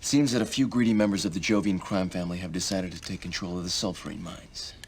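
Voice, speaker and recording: An actor playing a gruff, sardonic military commander giving mission briefings in a video game cutscene. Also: male voice, deep voice, steady tone, quick pace, slight background noise, natural voice. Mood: wry, stern. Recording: music behind the voice. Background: music.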